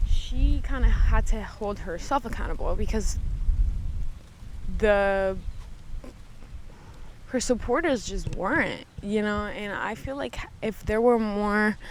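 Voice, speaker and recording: A woman speaks in a medium-pitched voice, like a podcaster talking casually on her own. She is candid and conversational, and she swears freely. A faint ambient rumble of noise sits behind her. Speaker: podcast host. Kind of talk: casual solo commentary. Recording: noisy background, vehicle-like ambient rumble.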